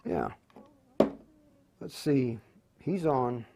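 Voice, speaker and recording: A man hosting an unscripted live tutorial, speaking haltingly and often muttering to himself as he works. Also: medium pitch, animated, vocal fry, very noisy. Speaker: host of a live radio tutorial.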